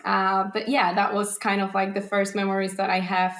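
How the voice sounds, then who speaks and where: A woman's voice: medium pitch, little pitch movement, normal speed, fairly bright tone. Polish woman in a roomy room. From Poland. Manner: thoughtful, frequent restarts and fillers, light laughs. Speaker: guest, golf teaching professional. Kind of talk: casual podcast interview.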